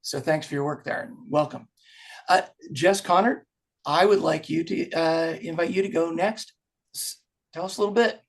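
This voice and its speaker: A man's voice: a little high, moderate pace, gravelly. A man moderating a webinar panel, thanking one speaker and introducing the next.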